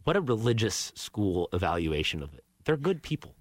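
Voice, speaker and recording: A man's voice: fairly low pitch, sing-song, normal speed, a crowd in the background. A man hosting a casual sports radio show, joking and rambling with lots of fillers. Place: radio studio. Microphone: studio microphone.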